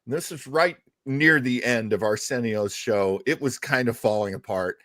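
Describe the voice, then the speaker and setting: A man's voice: medium pitch, moderate pace, fairly bright tone, no echo, clean studio sound. A man hosting a casual, conversational discussion of old radio shows. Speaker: host. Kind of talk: casual chat over an old radio show.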